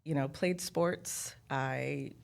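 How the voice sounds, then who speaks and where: A woman's voice: rumbling low, extremely slow, creaky, darkish tone. An American woman speaking as an interview guest, telling personal stories casually and acting out bits of dialogue. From San Jose, California, United States. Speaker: interview guest, corporate executive.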